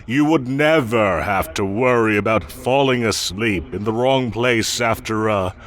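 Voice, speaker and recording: A man's voice: on the low side, natural voice. A man playing a smug, possessive and menacing fae king in roleplay audio, theatrical and condescending as he speaks directly to the listener. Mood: smug coaxing. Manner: theatrical, condescending, slow deliberate coaxing.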